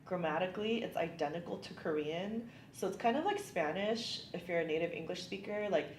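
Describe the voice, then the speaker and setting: A woman's voice: fairly low pitch, animated, a little fast. A woman from California in her thirties, an immigration attorney chatting upbeat in an interview with many ums and likes. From California, United States. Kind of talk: casual interview conversation. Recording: echoey room, faint background hiss.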